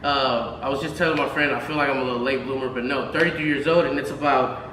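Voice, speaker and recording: Man in his thirties, bright and roomy. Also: medium pitch, fairly even intonation, quick pace. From Houston, Texas. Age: 30s. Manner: rambling, many fillers and restarts. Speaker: music producer, podcast guest. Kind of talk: casual interview chat. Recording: roomy, distant mic, faint noise.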